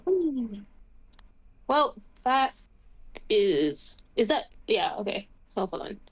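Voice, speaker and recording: A woman's voice: on the high side, big pitch swings, slow pace, breathy edge, rough recording. A woman chatting casually as a tabletop game player, improvising what her character does. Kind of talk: casual improvised roleplay chat.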